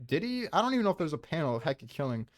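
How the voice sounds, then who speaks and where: A man's voice: on the high side, very animated, racing, no room sound. A man debating casually with a blunt, combative manner and plenty of swearing. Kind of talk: casual debate about a manga ranking.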